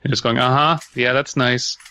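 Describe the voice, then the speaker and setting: A man's voice: mid-range voice, level tone, normal speed, sharp tone, a little hiss or hum. A man co-hosting a podcast, joking casually in a mock-serious way. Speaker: podcast co-host.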